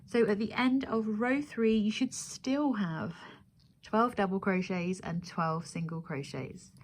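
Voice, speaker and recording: A woman's voice: mid-range voice, measured pace. A woman hosting a crochet tutorial, giving step-by-step instructions and counting aloud as she goes.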